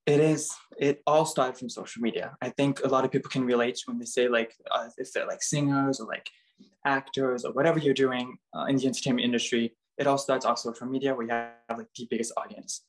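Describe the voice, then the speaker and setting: A man's voice: medium pitch, steady tone, brisk, rough recording. Chatty young Canadian-raised man. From Bulgaria, raised in Canada. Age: young adult. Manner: chatty, many fillers like "like" and "um". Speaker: interview guest, model and dancer. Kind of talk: casual chat.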